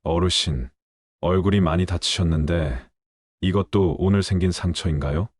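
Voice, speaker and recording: Guy voicing a grave middle-aged cop, deep husky, polite probing, dry. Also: normal speed, no echo. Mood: serious. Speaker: police officer.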